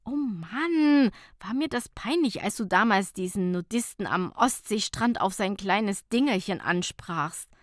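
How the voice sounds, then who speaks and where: A woman's voice: mid-range voice, lively intonation, a little slow, few pauses, no echo, studio quality, natural voice. A woman voicing an embarrassed, mock-indignant woman who is sceptical about men, in an audiobook. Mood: amused protest. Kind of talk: audiobook character dialogue.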